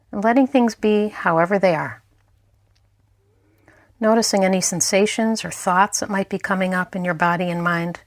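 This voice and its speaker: A woman mindfulness instructor narrating a guided meditation in a gentle, unhurried way, leaving pauses between phrases. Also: medium pitch, dry recording.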